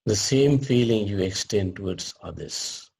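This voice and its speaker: A man with a low, husky voice guides a meditation. He speaks very slowly and deliberately, setting out short phrases with pauses, as a teacher instructing a group would. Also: lively intonation, a little mellow.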